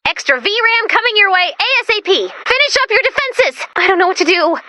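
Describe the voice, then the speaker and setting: A woman voicing a perky, quirky young female robot sidekick in a video game, chatty and expressive with an anxious, earnest streak. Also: very high-pitched, fairly quick, no room sound, full character voice. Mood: urgent, excited, then anxious, awkward. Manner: expressive, quippy, nervously chatty. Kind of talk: video game character voice lines.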